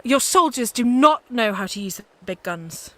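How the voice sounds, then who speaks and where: A fearless young woman soldier-saint in a radio drama. Her voice is high and bright and swings widely in pitch. She declaims with earnest, fervent conviction. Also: expressive, normal speed. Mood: bold, defiant. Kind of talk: radio play acting.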